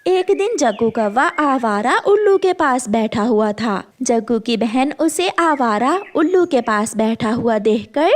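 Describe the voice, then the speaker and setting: A woman tells a children's story in a high voice. Her delivery is slow and scripted, with a lively, varied melody.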